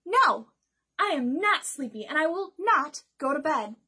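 A woman's voice: high-pitched, very animated, measured pace, pushed and bright, heavily acted. A woman voicing a stubborn, imaginative little girl from a storybook, insistent and full of excuses.

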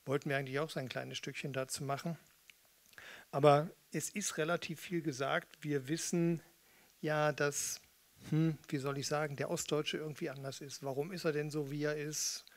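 A man's voice: fairly high pitch, fairly even intonation, normal speed. A middle-aged man from East Germany performing spoken word on stage at a close microphone. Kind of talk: stage talk and spoken-word poetry over guitar. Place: small theatre hall with red seats, indoors. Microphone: stand microphone with pop filter, close. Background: electric guitar playing.

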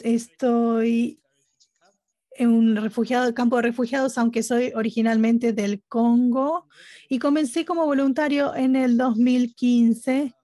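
A woman giving live interpretation at a webinar, speaking haltingly phrase by phrase. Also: on the high side, steady tone, dark tone, no echo. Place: remote online conference audio channel.

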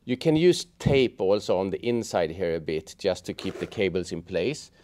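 A middle-aged man giving a casual hands-on tutorial, explaining step by step in a halting way. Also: sits low, sing-song, darkish tone, slight background noise. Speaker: host of a how-to motorcycle gear channel. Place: indoors, office workshop room at a table.

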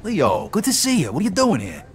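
An actor playing a young, tough, plainspoken mobster in a game cutscene, casual and streetwise. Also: male voice, high voice, wildly swooping pitch, fairly quick, a little hiss or hum. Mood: curious, surprised.

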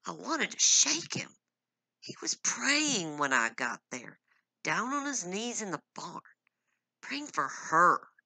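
A man's voice: high-pitched, sing-song, slow pace, creaky, sharp tone, no echo, voice pushed up, full character voice, poor audio. A woman voicing a bitter, hot-tempered husband who speaks harshly through gritted teeth, in a storybook reading. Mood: harsh, angry.

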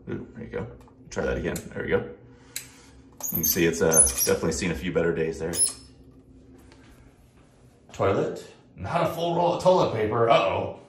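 A man's voice: on the low side, a little hiss or hum. A man in his forties giving a casual, upbeat walk-through review of a hotel room, recorded on a handheld phone indoors. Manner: chatty, upbeat walk-through with frequent asides. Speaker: casino vlogger. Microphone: handheld phone camera.

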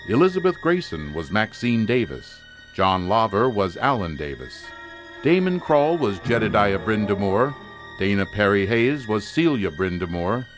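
Low, expressive male radio announcer. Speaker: announcer and actor. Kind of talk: old-time radio drama announcing.

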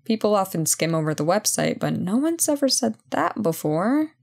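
A woman playing a brisk, playful company boss in an audio roleplay job interview, chatty and full of wry asides. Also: medium pitch, big pitch swings, gravelly, darkish tone, breathy edge, exaggerated voice. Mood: wry, friendly. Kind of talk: ASMR roleplay, one-sided conversation.

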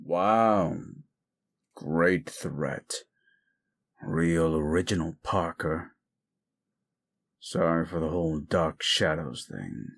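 A man playing a jaded, reclusive werewolf in an audio roleplay drama, gruff, curt and sardonic. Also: deep voice, drawn-out words, pauses often, fairly bright tone, full character voice. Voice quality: gruff, gravelly.

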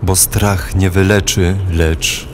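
An actor in an audio play reciting verse as a bitter, pained loner who longs to escape, in halting, brooding phrases. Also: male voice, deep voice, fairly even intonation, background noise.

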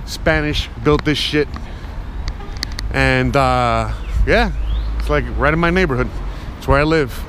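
A man's voice: medium pitch, a little slow. A man in his thirties rambling casually to an arm's-length camera while walking outdoors on a sunny city street. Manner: rambling and chatty, frequent fillers. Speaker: vlogger. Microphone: action camera held at arm's length. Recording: loud street and wind noise.

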